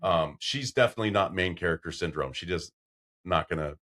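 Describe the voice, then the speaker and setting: A man with a deep, carrying voice hosting a casual podcast chat, telling stories and getting loud when excited. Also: fairly quick. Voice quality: deep voice that carries. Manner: loud when excited, storytelling with acted-out quotes. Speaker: podcast host.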